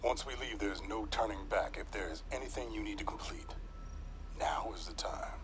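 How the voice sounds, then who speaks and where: An actor playing a gruff but caring American military sergeant in a video game, commanding his squad in folksy, clipped talk. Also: on the low side, moderate pace, crowd noise, lightly acted. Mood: grave, steady. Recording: loud music and battle sound behind.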